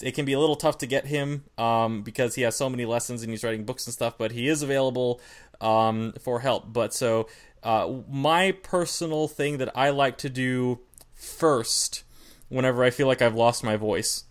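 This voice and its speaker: A man with a smooth, clear voice at a middle pitch co-hosts a podcast about singing. He talks in a relaxed, rambling way and often circles back to restart his point. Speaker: podcast co-host, singer. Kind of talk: casual podcast chat.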